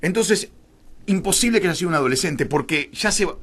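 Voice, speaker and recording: A man's voice: high-pitched, lively intonation, brisk, gravelly, fairly bright tone. A man with a Rioplatense Argentine accent, a radio guest explaining a theory in an emphatic, digressive, conversational way, often correcting himself.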